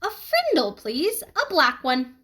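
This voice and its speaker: A woman's voice: sits high, wildly swooping pitch, slow pace, sharp tone, voice pushed up, exaggerated voice. A woman voicing a mischievous, earnest fifth-grade boy in a storybook, eager and emphatic. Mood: sly, eager.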